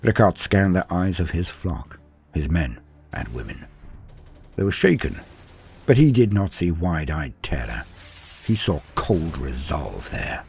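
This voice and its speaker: A man's voice: sits low, big pitch swings, a little slow, vocal fry, darkish tone. A man narrating scripted war fiction in a grave, theatrical manner, letting his phrases fall away at the end. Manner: grave, theatrical storytelling with falling phrase endings. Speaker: narrator and storyteller.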